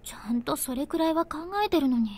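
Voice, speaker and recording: A woman's voice: sits high, rapid-fire, close to an everyday voice. A woman voicing a capable, headstrong young woman in a visual novel, with a casual, questioning manner. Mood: insistent. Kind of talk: Japanese visual novel voice acting.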